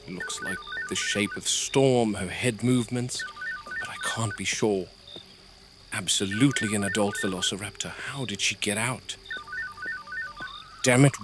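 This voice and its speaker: A man's voice: fairly low pitch, close to an everyday voice. A man acting as a dogged game warden in a found-footage horror log, muttering urgently to himself and swearing as he tracks an escaped animal. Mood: wary, focused, then urgent, irritated.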